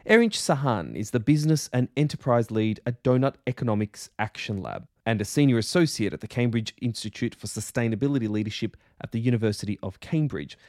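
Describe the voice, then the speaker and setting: A man hosting a podcast, delivering introductions and questions in a deliberate, articulate way. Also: mid-range voice, lively intonation, a little mellow, no echo, studio quality. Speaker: podcast host. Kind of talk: scripted introductions and interview questions.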